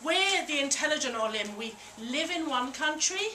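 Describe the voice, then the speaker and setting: A woman's voice: high-pitched, lively intonation, normal speed. A British woman doing a stand-up comedy set to a live audience, with a dry, teasing, self-mocking delivery. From Britain. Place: function room with a live audience. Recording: echoey room, mic at a distance, loud noise. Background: audience laughter.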